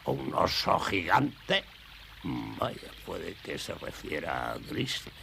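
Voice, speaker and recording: A man voicing a cranky, eccentric old gold prospector in a Spanish cartoon dub, muttering excitably in a gravelly, high voice. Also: slow, a little hiss or hum, exaggerated voice. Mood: evasive. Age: older. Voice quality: creaky, gravelly, high-pitched. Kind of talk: animated cartoon dub acting.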